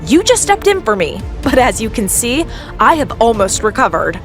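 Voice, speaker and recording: A bitter, high-voiced scheming young rival. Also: female voice, sing-song, moderate pace, soft background music. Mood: indignant. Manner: dramatic, indignant. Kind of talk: audio drama acting.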